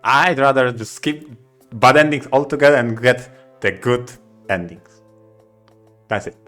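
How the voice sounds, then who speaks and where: Male co-host for casual podcast talk, medium pitch, halting delivery, soft music bed behind.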